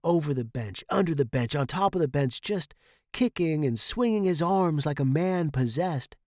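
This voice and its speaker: A man's voice: fairly high pitch, animated, normal speed, darkish tone, no room sound, studio quality. A man reading a first-person short story dramatically, as its narrator.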